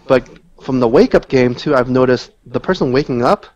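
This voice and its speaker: A man's voice: medium pitch, normal speed, a little mellow, lo-fi sound. A man giving casual, unscripted analysis as a guest player in a gaming discussion, explaining points in a loose, conversational way.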